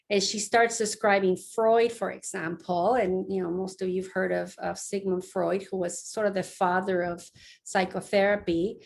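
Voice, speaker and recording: Middle-aged woman from Mexico, psychologist, relaxed lecture delivery with frequent ums and restarts, mid pitch. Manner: conversational, many fillers and restarts. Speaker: psychologist giving a public talk. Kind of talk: lecture-style online talk. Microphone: online talk, close mic.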